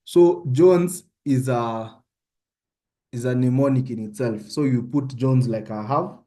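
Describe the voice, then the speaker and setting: A man's voice: mid-range voice, unhurried, dark tone. A young Kenyan man with a Kenyan accent, giving an informal online medical tutorial and often checking in with his listeners. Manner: conversational, often checks in with "yeah?".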